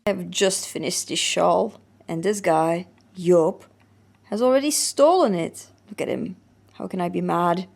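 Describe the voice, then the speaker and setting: A woman's voice: fairly low pitch, measured pace, gravelly, darkish tone. A woman from Belgium with a Flemish accent, a native Dutch speaker, chatting casually as a vlogger in a giggly, playful, self-interrupting way. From Flanders, Belgium. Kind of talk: casual chatty craft vlog.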